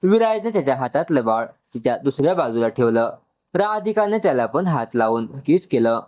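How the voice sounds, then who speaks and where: A man's voice: mid-range voice, very animated, brisk, highs cut off. A man narrates a scripted story and acts out its dialogue.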